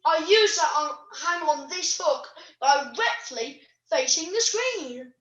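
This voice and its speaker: Puppet show role: gushing, starstruck toy played by a female, very high and swooping, echoey room. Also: animated, slow, fairly bright tone, full character voice. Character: starstruck, emotional toy-shop puppet dreaming of fame. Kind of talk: online puppet show acting. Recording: echoey room over video call.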